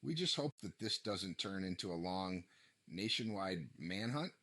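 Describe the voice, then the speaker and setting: Male news reporter played by a man, scripted drama bulletin, lowish pitch, dry sound. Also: expressive, a little slow, bright, forceful tone, no room sound, full character voice. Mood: grave. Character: male TV news reporter covering a violent manhunt.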